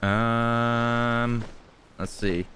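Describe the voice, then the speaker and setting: A man's voice: medium pitch, flat delivery, drawn-out words, background noise. A man giving casual gameplay commentary, blunt and sweary, often talking straight at the game. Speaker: gaming video creator.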